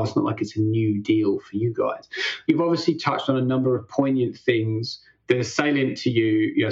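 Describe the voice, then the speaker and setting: A man's voice: mid-range voice, vocal fry, lo-fi sound. A man interviewing a guest, rambling and hedging with many fillers as he builds up to his question. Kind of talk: conversational interview.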